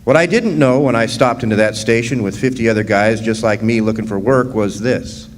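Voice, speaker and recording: An actor playing a plainspoken, folksy young drifter miner of 1917 in a radio drama. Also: male voice, lowish voice, a little fast, natural voice. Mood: plainspoken reminiscing. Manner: folksy, plainspoken, drawling dropped grammar. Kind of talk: first-person radio drama narration and dialogue. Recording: roomy live hall stage, background hiss.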